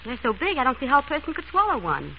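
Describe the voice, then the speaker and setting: An actress playing a breezy, witty, stubborn amateur sleuth in an old-time radio drama, bantering briskly. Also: female voice, high voice, wildly swooping pitch, gravelly, full character voice. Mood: curious. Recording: muffled, noisy vintage radio recording.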